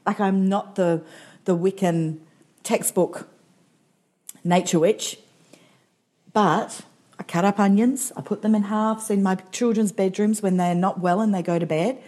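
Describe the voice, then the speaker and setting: A woman's voice: medium pitch, steady tone, unhurried, long pauses, a little mellow. A woman co-hosting a talk show, enthusiastic and gushing as she chats. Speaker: podcast co-host. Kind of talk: casual chat.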